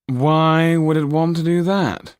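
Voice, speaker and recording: A man's voice: on the high side, fairly even intonation, slow pace, clean tone, no echo, clean studio sound, voice pushed up. A man voicing a haughty, pompous nobleman in an affected manner. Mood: offended.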